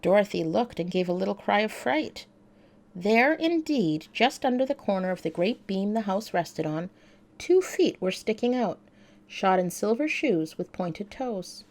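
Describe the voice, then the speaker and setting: Mellow, expressive female bedtime storyteller. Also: medium pitch, unhurried.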